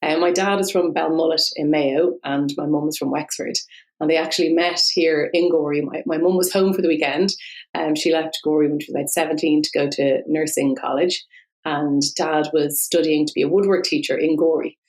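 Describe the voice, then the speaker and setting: A woman's voice: low-pitched, fairly even intonation, brisk, darkish tone, poor audio. A woman in her forties with a Dublin Irish accent, a guest chatting in an interview, laughing often and restarting her sentences. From Ireland. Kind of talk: conversational interview.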